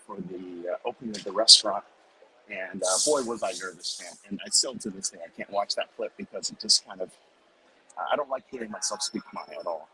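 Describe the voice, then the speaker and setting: A man's voice: on the low side, fast, bright, forceful tone, low-quality recording. A man from the United States, a chef, chatting easygoingly in an interview over a remote call, with lots of fillers. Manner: easygoing, self-deprecating, many fillers. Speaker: chef and guest. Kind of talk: casual interview chat. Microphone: remote call on the move.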